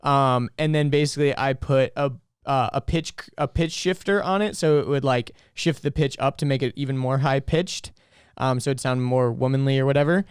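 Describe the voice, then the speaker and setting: A man's voice: mid-range voice, moderate pace, smooth, dry recording, clean studio sound. A young man co-hosting a podcast, chatting casually and rambling with lots of fillers. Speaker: podcast co-host. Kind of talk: casual chat.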